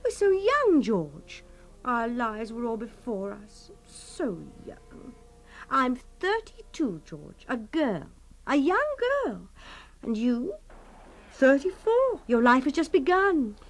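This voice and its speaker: A woman's voice: high voice, sing-song, slow pace, soft background music, full character voice. An actress playing a clinging, melodramatic wife in her thirties in a radio drama, pleading and sighing theatrically. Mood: despairing.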